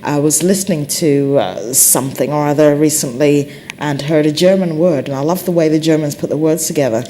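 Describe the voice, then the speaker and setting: A low-pitched English woman talks through her thoughts in an easy conversational way. She hesitates and restarts as she goes. Faint noise sits under her voice. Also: fairly quick, warm tone. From England. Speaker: conversation partner discussing languages. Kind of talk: casual chat.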